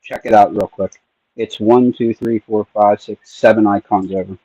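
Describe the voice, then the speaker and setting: A man's voice: on the low side, fairly even intonation, normal speed, dark tone, dry recording, highs cut off. A man co-hosting a livestream, chatting casually, playful and jokey. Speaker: livestream co-host. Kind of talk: casual chat.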